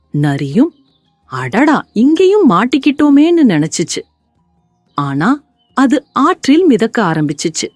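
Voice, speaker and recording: Animated, unhurried woman telling children's stories. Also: fairly high pitch, dry recording, studio quality. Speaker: storyteller for children. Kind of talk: animated scripted children's story narration.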